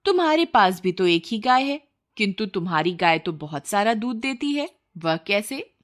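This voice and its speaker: A woman acts out a greedy village wife in a cartoon moral tale. She is a sly mother who speaks in exclamations and pleas, at a medium pitch. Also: very animated, normal speed, lightly acted. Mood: eager, complaining. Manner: exclamatory, pleading village speech.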